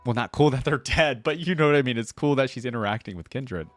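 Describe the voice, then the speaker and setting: A man's voice: medium pitch, fast talker, soft background music. A man hosting a reaction video, giving casual, laid-back commentary with lots of "like".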